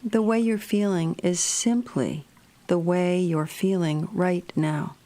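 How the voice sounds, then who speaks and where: A woman narrating a guided meditation in a gentle, measured, soothing manner. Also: lowish voice.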